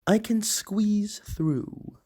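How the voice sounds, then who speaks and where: A reader voicing a plucky, determined little car in a children's storybook, with an earnest, deliberate delivery. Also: mid-range voice, mellow, pitched above the performer's own voice.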